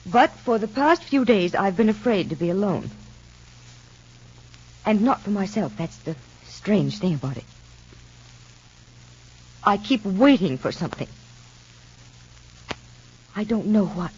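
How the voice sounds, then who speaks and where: A husky-voiced, fearful mother in a 1950s radio soap opera. She speaks in a subdued, halting, earnest way. The old recording is dull and lo-fi. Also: medium pitch, animated, darkish tone. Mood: anxious, fearful. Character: anxious young mother, tense and fearful.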